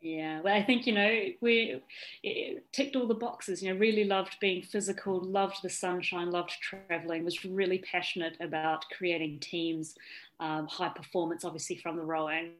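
A woman's voice: on the low side, fairly even intonation, normal speed, rough recording. A woman in her thirties with a New Zealand accent, chatting as an interview guest, upbeat and laughing often. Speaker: guest, yacht officer and founder. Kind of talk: casual interview chat.

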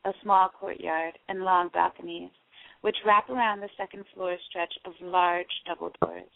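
A woman from Panama, a poet and artist guesting on a radio show, speaking in a reflective, measured way with frequent fillers and restarts. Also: medium pitch, vocal fry, no echo. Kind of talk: conversational interview and reading her own prose. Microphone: phone call. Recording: tinny phone line.

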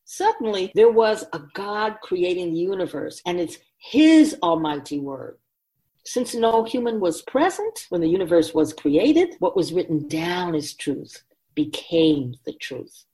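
A woman voicing a wise, ancient spirit who keeps souls, teaching patiently and deliberately in a scripted radio drama. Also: lowish voice, animated, warm tone. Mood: patient, explaining. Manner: measured, deliberate, didactic, solemn.

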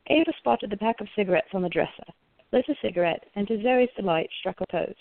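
A woman narrates a scripted radio play. Her medium-pitched voice has plenty of fry. The sound is very dry and close, with no room to it. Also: fast, no echo. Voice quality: creaky. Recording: narrow phone-like, dry sound.

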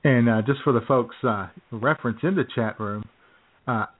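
Male talk host, easygoing banter, mid-pitched, lo-fi phone sound. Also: moderate pace. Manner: laid-back, chuckling banter. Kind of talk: casual radio chat. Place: home studio in a small town. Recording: tinny phone-like line.

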